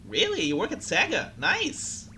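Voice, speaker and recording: Excitable male streamer. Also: sits high, measured pace, slight background noise. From Brazil. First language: Portuguese. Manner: excitable, flustered, chatty. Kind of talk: live game commentary and chat.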